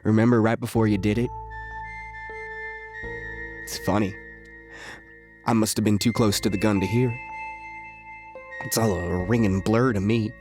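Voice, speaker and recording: A man's voice: lowish voice, lightly acted. An actor playing a wisecracking, jittery, volatile male locksmith outlaw in a western audio drama, with a sardonic, rambling, folksy way of talking.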